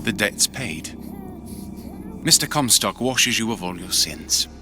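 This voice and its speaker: An actor playing a detached, dry gentleman scientist in a dramatic video game, with clipped, aloof delivery. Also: lowish voice, expressive, slow pace. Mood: cool, ominous. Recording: music behind the voice.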